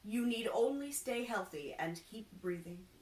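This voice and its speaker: A woman voicing a bashful, earnest male carpenter who plays fortune teller in a storybook, with measured pronouncements. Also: mid-range voice, expressive, darkish tone, natural voice. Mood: earnest, reassuring. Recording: echoey room, distant mic, faint noise.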